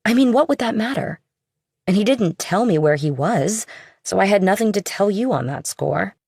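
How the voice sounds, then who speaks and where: A woman's voice: medium pitch, animated, normal speed, gravelly, a little mellow, husky, no room sound, clean studio sound. A woman voicing an evasive, nonchalant young woman in an audiobook, casual and a little defensive. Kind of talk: audiobook character dialogue.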